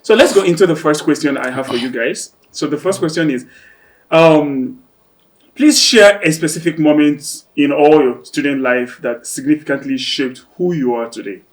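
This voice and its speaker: A man's voice: a little high, normal speed. A man hosting a talk show in a studio. He talks in a loose, rambling, conversational way. He introduces guests and sets up the questions. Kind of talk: casual studio interview.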